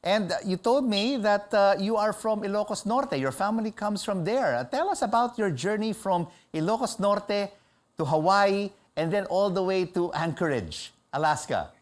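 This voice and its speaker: A woman's voice: medium pitch, expressive, normal speed. A woman hosting a news interview, asking questions in a chatty way with many hesitations. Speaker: news show host. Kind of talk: broadcast interview.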